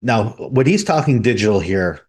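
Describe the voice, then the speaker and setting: A man with a fairly low voice co-hosting a podcast. He talks in a measured, step-by-step way, unhurried and conversational. He leans on homespun analogies to make his points. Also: animated, a little mellow, slightly rough. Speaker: podcast co-host. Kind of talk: casual analytical chat.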